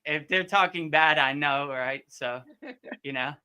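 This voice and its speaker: A man's voice: on the high side, measured pace, sharp tone, clear, resonant tone. A man hosting a live question-and-answer session as a yoga teacher, chatting informally and often repeating and rephrasing his points. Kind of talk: casual live Q&A chat.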